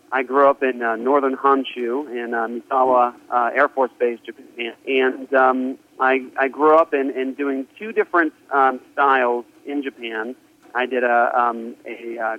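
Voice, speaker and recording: A middle-aged man, a pastor, talking earnestly on the phone as a guest in an interview, with many fillers. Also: medium pitch. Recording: tinny phone line.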